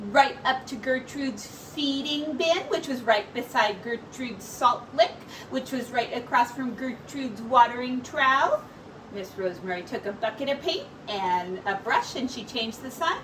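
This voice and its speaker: A woman with a high-pitched voice reads a children's story aloud like a teacher. Her delivery is animated, with dramatic pauses. She sounds some distance from the mic, with a faint noise behind her. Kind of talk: expressive picture book read-aloud.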